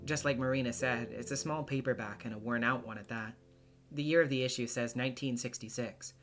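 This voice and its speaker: A solo voice actor narrates a visual novel in a scripted, dramatic reading. The pitch is middling, and music plays clearly behind the voice. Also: fairly even intonation, fairly quick.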